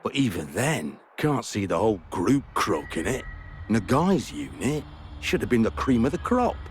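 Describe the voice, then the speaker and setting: An actor playing a gruff, independent warrior in a video game cutscene. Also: male voice, lowish voice, sing-song, moderate pace, slight background noise. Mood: disbelieving.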